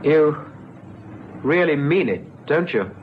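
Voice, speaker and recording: An actor playing a gentle, patient police constable in an old radio drama, chatty and persuasive. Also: male voice, a little high, lively intonation, slow pace. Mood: coaxing, earnest. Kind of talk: 1970s radio drama acting. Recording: muffled old radio recording, faint noise.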